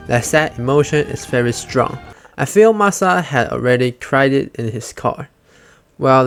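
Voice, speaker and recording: A man reading scripted narration as a fan commentator, with non-native phrasing and grammar slips. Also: mid-range voice.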